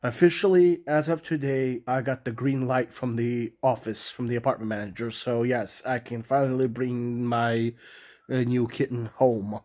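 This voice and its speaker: A man's voice: mid-range voice, steady tone, normal speed, a little mellow. A man with a cold and a nasal voice, rambling casually as he films his bedroom on a phone for his vlog. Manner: rambling, restarts and fillers, polite sign-off. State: has a cold, nasal and sniffly. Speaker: vlogger. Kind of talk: casual off-the-cuff vlog narration. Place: small cluttered bedroom indoors. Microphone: phone camera held while filming the room.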